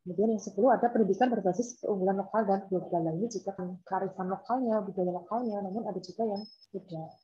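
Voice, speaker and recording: A woman's voice: medium pitch, fast talker, dark tone. A woman lecturer from the of Indonesia, teaching an online class informally with examples and repetition over a laptop video call. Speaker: university lecturer. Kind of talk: online lecture, explaining and asking students. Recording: dull, lo-fi video call audio.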